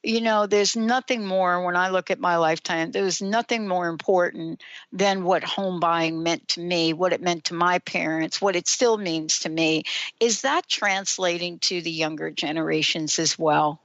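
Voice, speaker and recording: A woman's voice: lowish voice, fairly even intonation, moderate pace, a bit bright, no room sound. Woman radio host, New York accent, rambling chatty questions, muffled sound. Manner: chatty, rambling, with many fillers. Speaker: radio talk show host. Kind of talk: radio talk show interview.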